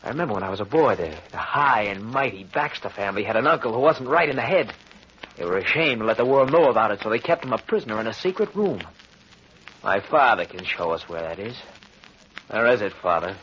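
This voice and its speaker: A man's voice: on the low side, lively intonation, quick pace, fairly bright tone. An actor playing a ruthless young male escaped officer who talks in a cold, commanding, threatening way, in 1940s radio acting style. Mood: menacing. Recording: dull, faintly hissy 1940s radio.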